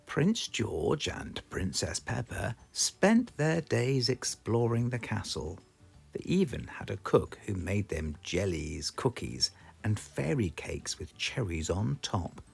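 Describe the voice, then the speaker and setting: A man with a British accent reading a children's storybook aloud in a slow, expressive, sing-song way. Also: on the low side, creaky, husky, faint music behind. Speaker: storybook reader.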